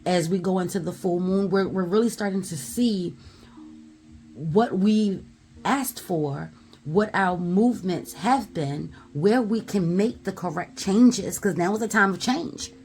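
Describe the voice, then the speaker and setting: A woman giving a spiritual tarot message in a loud, emphatic voice, blunt and at times swearing, punctuating her points with repeated affirmations. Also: medium pitch, a little slow, slight background noise, poor audio. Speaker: tarot reader.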